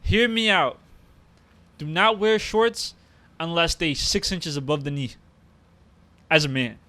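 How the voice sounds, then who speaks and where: Young guy podcast cohost, bright voice, kinda high, jokey and swears a lot. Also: unhurried. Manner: joking, profane, rambling. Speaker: podcast co-host. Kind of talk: casual chat.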